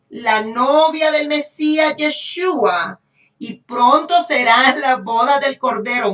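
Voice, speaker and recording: Female preacher, Honduran accent, emphatic and unhurried delivery, heard from a distance in an echoey room. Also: a little high, a bit bright. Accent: Honduran Spanish. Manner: emphatic, repeats phrases, checks in with rhetorical questions. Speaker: preacher teaching a congregation. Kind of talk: sermon, Bible teaching.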